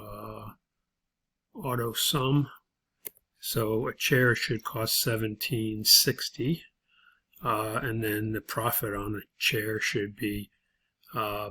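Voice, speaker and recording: A man instructing through an unscripted spreadsheet walkthrough, explaining things in a halting, matter-of-fact way. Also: mid-range voice, a bit bright, slightly rough.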